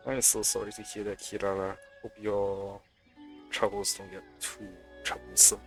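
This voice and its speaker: A man's voice: on the low side, little pitch movement, moderate pace, soft background music. A man giving casual, playful live commentary as he streams a game, offhand in manner.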